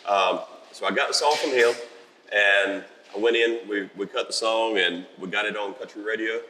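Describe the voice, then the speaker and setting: A middle-aged man from South Carolina, a country musician with a raspy voice, chatting easily and humbly in a festival interview. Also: on the low side, normal speed, bright, forceful tone, big-room sound, slight background noise, low-quality recording.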